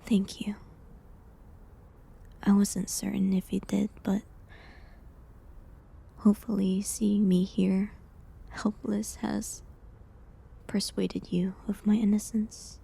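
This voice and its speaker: Slow, sad accused medieval healer woman, acted out. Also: medium pitch, flat delivery, full character voice. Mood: quiet, sorrowful. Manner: soft, hesitant, breathy delivery with pauses. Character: falsely accused village healer awaiting execution, sad and resigned. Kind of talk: dramatic audio roleplay monologue, medieval setting.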